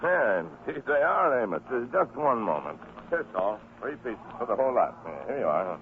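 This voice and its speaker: A man's voice: medium pitch, sing-song, moderate pace, phone-quality sound. A man playing a garrulous, placating fish merchant who haggles hesitantly, in an old radio drama style. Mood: haggling. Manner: hesitant, muttering, apologetic. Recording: thin, band-limited old radio recording, faint noise.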